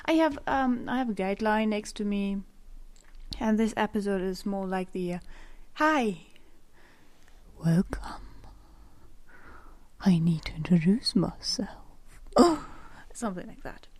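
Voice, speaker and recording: Young german woman, german accent, solo podcast host, unhurried delivery, rambling, self-mocking, close condenser mic. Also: medium pitch, lively intonation, a little mellow. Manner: rambling, self-deprecating, laughs often. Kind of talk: unscripted solo podcast chat. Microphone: USB condenser microphone.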